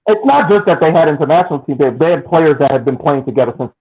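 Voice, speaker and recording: A man's voice: a little high, animated, fast talker, slightly rough. A man co-hosting a soccer fan podcast, blunt and emphatic, hammering his point home. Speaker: podcast co-host. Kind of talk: casual opinionated chat. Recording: tinny phone-like line.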